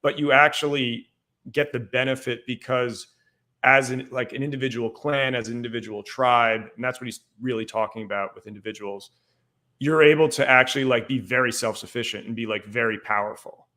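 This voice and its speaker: A man's voice: medium pitch, fairly even intonation, fairly quick, sharp tone. Irish man talking history, lots of fillers. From Ireland. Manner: rambling, many fillers like "like" and "um". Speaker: guest historian. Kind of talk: casual podcast discussion.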